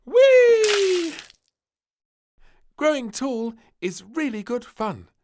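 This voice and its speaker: A man's voice: extremely high pitch, wildly swooping pitch, drawn-out words, darkish tone, pitched above the performer's own voice, heavily acted. A man voicing a bossy, whiny little princess girl in a storybook, with piping, squealing delivery. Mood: gleeful.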